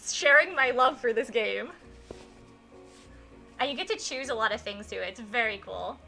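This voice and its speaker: A woman hosting a live game stream with casual, effusive, excitable chat. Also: on the high side, moderate pace, gravelly. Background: music.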